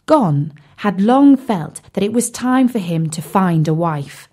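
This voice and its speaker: Slow, expressive woman storyteller. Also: mid-range voice. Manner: expressive, carefully paced, addresses young listeners. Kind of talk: scripted children's story narration.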